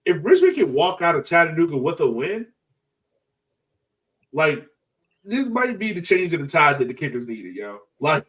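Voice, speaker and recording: A man hosting a casual soccer fan podcast, talking in an animated, slangy way. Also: a little high, normal speed, long pauses, far from the mic, poor audio. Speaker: sports podcast host.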